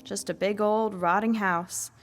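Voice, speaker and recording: A young woman playing a hearty, authoritative police chief in a radio drama, speaking crisply. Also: medium pitch, fairly even intonation, unhurried, faint music behind, natural voice. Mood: curious. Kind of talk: detective radio drama acting.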